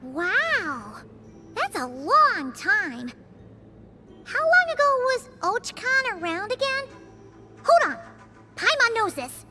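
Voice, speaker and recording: A tiny female fairy sidekick from a video game, with an extremely high, squeaky childlike voice. She is excitable and chatty, swinging widely up and down in pitch, over clearly audible music. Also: takes it slowly, pauses often, heavily acted. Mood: excited, surprised. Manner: speaks of herself in third person, exclamatory.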